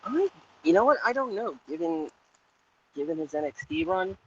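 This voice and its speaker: A man co-hosting a casual wrestling podcast who talks in halting restarts and drifts into jokes. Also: sits high, sing-song, dull audio, a little hiss or hum. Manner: hesitant, many restarts, joking. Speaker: podcast co-host. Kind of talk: casual chat.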